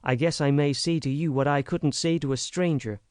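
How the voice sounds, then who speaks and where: A man's voice: on the high side, steady tone, moderate pace, darkish tone, smooth, no room sound, clean studio sound, natural voice. A man reading an audiobook, voicing an earnest, frank young American woman who pleads with emphasis. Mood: earnest pleading.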